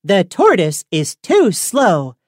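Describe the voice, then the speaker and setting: A woman's voice: medium pitch, huge pitch swings, slow pace, a little mellow, no echo, clean studio sound, full character voice. A woman voicing a boastful, cocky little rabbit for a children's storybook, emphatic and taunting.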